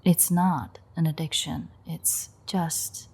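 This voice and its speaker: Actress as female vampire mechanic for audio roleplay: lowish, gravelly, dry, playful and unrepentantly predatory. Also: steady tone, takes it slowly, darkish tone, exaggerated voice. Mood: defensive, wry. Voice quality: husky, gravelly, breathy. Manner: dry, teasing, sarcastic. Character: teasing, sardonic female vampire mechanic, unrepentant man-eater. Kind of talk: audio roleplay acting, intimate one-sided dialogue.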